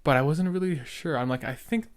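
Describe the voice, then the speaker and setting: A young man giving casual, earnest reaction commentary as a podcast host, stumbling and repeating words now and then. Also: fairly high pitch. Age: young adult. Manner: halting, stuttering, repeats words.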